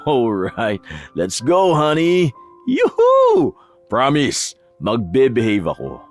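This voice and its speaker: A man's voice: mid-range voice, huge pitch swings, slow pace, soft background music, heavily acted. Cocky, teasing young man acted by a man. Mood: playful. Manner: teasing, sweet-talking. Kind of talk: Tagalog romance audio drama acting.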